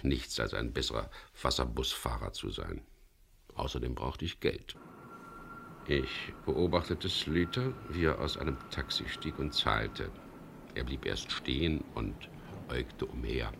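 Very deep, gravelly world-weary skipper. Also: male voice, a bit bright, a little hiss or hum, full character voice. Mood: dry, reflective. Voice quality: gravelly, husky. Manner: laconic, dry, ironic. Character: world-weary middle-aged English charter skipper, wry smuggler narrator. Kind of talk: first-person radio crime drama narration with dialogue.

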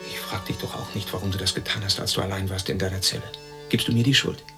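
Brooding, gravelly man. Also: deep voice, fairly even intonation, music in the background. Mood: guilty, pleading. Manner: brooding, halting short sentences. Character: restless, guilt-ridden East German ex-prisoner confiding in a silent companion. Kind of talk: radio drama monologue.

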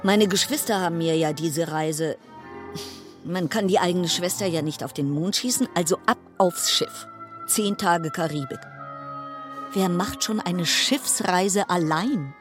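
Actress as a wry solo cruise passenger, music behind. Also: female voice, on the low side, close to an everyday voice. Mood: wry, exasperated. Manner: wry, musing, dramatic pauses. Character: wry, chatty lone woman cruise passenger. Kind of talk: German radio play monologue.

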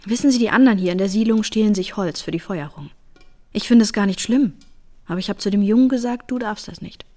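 A woman's voice: mid-range voice, quick pace, a little mellow, natural voice. A woman playing a tender, principled young working-class mother in a naturalistic radio drama, speaking in an earnest, plain way. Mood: earnest, firm. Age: young adult.

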